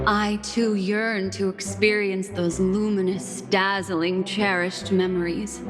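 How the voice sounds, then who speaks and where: An actress voicing an enigmatic, elegant woman who collects memories in a video game, poised and deliberate in her formal phrasing. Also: mid-range voice. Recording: loud music behind the voice.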